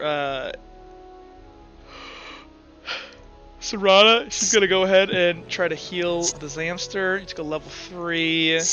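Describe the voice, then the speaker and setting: Male game master, casual, slightly high bright voice, muffled audio, light music behind. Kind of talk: running a tabletop roleplaying game, casual.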